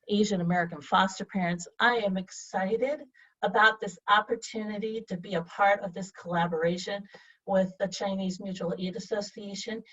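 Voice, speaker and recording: A middle-aged woman from Vietnam, raised in Southern Illinois, a lawyer co-presenting a webinar over a video call in a composed, measured way. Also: medium pitch. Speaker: government agency lawyer, co-presenter. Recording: dull, muffled video-call audio.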